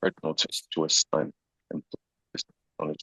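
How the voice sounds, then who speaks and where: A man's voice: very deep voice, monotone, normal speed, bright, forceful tone, slightly rough. A man reading stage directions as scripted narration at a live screenplay table read, recorded muffled in an echoey room.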